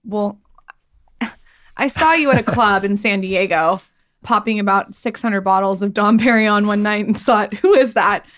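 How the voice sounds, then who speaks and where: A woman's voice: medium pitch, normal speed, fairly bright tone, no echo. A woman co-hosting a podcast in casual conversation, informal, teasing and chatty. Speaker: podcast co-host. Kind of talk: casual chat.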